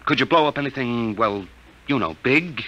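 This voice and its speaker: A man's voice: medium pitch, animated, moderate pace, bright, forceful tone, highs cut off. An American actor in a 1950s radio drama, playing a slangy, brash young man who is sidekick to a professor. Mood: amazed. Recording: vintage 1950s radio recording.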